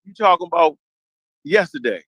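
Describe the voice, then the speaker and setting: An older man in a heated group conversation, telling animated, profane stories and acting out the quotes himself. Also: a little high, moderate pace, no room sound.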